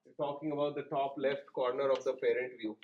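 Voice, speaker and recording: A man lecturing in programming, explaining each step in short instructional phrases with pauses between them. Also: medium pitch, fairly even intonation, highs cut off, a little hiss or hum. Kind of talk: step-by-step classroom coding tutorial.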